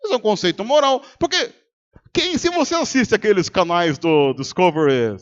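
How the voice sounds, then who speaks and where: A Brazilian pastor delivers a sermon in a noticeably high voice. He argues rhetorically, keeps quizzing the congregation and acts out both sides of a debate. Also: animated, moderate pace, muffled recording. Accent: Brazilian Portuguese. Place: church service.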